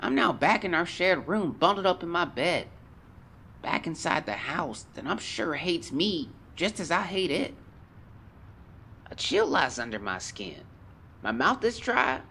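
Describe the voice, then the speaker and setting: A man's voice: on the high side, sing-song, measured pace, vocal fry, pushed and bright, slight background noise, heavily acted. A man playing a haunted teenage boy explorer, solemnly and theatrically dictating a fearful journal in an audio drama. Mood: weary, somber. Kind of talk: dramatic first-person journal narration.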